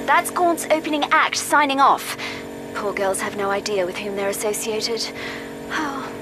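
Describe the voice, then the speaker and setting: Woman playing composed mission control lady in a game, highish voice, wry n proper, music behind. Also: measured pace, lightly acted, low-quality recording. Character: poised, composed female mission handler. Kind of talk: video game voice acting.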